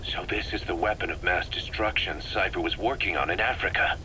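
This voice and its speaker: Forceful, hard-line military commander. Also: male voice, sits low, brisk, a little hiss or hum, rough recording. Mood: suspicious, accusing. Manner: forceful, insistent, accusatory. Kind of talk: video game voice acting, briefing dialogue.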